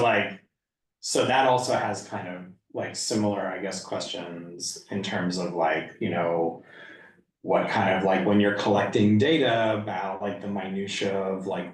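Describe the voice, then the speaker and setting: A man leading a casual group discussion, halting and hedging as he thinks aloud. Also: on the low side. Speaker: reading group discussion leader. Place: indoor room at a group meeting. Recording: echoey room, distant mic.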